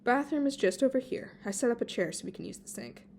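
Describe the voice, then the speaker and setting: A woman's voice: fairly high pitch, expressive, fast, a little mellow, slight background noise, pitched above the performer's own voice. A woman voicing a playful, teasing male fae student who fusses and instructs, in a storybook reading. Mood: brisk, fussing. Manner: breezy, chiding, instructing.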